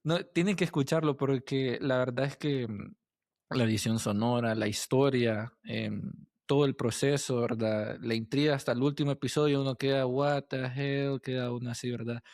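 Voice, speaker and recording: A man hosts a conversational interview. His voice sits in a middle register in a dry recording. He feels his way haltingly through each thought and punctuates it with tag questions. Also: mid-range voice, steady tone, darkish tone, dry recording. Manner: halting, thinking aloud, frequent tag questions. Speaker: podcast host interviewer.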